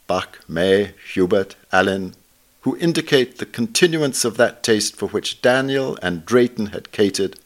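Low-pitched man reading aloud, measured. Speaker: reader of a literary essay. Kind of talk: scripted narration, reading aloud.

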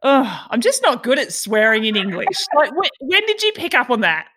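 A woman's voice: sits high, very animated, moderate pace, bright, forceful tone, a little hiss or hum. A woman hosting a podcast in a casual, informal chat, asking inquisitive questions. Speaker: podcast host.

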